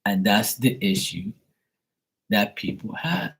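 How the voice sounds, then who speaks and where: A man's voice: on the low side, animated, takes it slowly, gravelly, a bit bright, slightly rough. A man from Bermuda, hosting a live stream, talking bluntly and profanely in a rambling casual rant. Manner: blunt, profane, rambling, acts out quoted voices.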